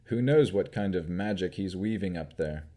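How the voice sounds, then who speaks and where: Man doing a patient sheriff, earnest, lowish voice, steady. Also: normal speed. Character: calm, earnest new sheriff with divine magic.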